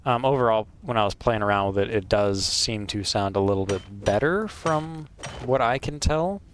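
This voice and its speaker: Man, gameplay host, lowish voice, casual rambling commentary, lots of ums, wired mic. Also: expressive, slight background noise. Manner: hesitant, filler-heavy, unhurried rambling. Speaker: let's play host. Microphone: wired microphone.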